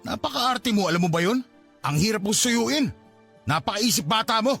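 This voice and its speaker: A high, bright-voiced Filipino man as a callous lover in a radio drama. His pitch swings widely as he scolds, evasive and dismissive. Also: lively intonation, moderate pace, vocal fry, soft background music, full character voice. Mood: fed up.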